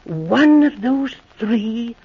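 An actress playing an earnest young woman secret agent in a 1940s radio drama, talking in a brisk, slightly prim way. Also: on the high side, lively intonation, husky, exaggerated voice. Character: Miss Guest, sharp-minded young woman secret agent. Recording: dull lo-fi old radio transcription.

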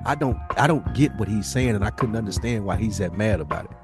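A man's voice: on the low side, lively intonation, fast talker, music in the background. A man co-hosting a podcast in casual conversation, speaking emphatically with slang and often rephrasing his points. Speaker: podcast co-host.

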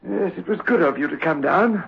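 An actor playing a composed, compassionate psychiatric doctor, gravely reflective, in a 1960s radio drama on an old recording. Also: male voice, high voice, animated, fairly quick, lo-fi sound, heavily acted.

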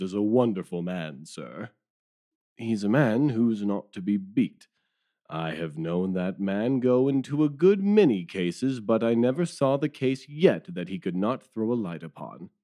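A man's voice: lowish voice, very animated, unhurried, mellow. An actor playing a weary, deferential male police detective who talks in a rueful, wordy way in an audio drama. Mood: admiring.

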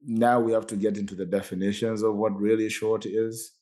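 A man with a fairly low, warm and relaxed voice, co-hosting a casual podcast debate. He talks things through as he goes, restarting and hedging his points. His tone stays laid-back and conversational. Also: a little fast. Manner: hesitant, restarting, reasoning aloud. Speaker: podcast co-host.

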